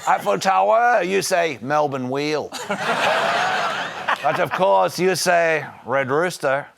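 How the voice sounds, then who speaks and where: A smug, leering Frenchman with a put-on accent, played by a man, drawling innuendo slowly. His voice is high and gravelly. The recording has a noisy hiss under it. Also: full character voice. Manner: innuendo-laden, theatrical, drawled. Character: lecherous pompous French man, cycling pundit. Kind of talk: comedy sketch in character.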